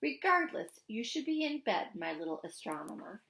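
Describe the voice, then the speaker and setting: Loving American storybook mother voiced by a woman reader, gently firm, fairly high and expressive. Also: normal speed, roomy sound. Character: warm, firm, loving mother of a young girl.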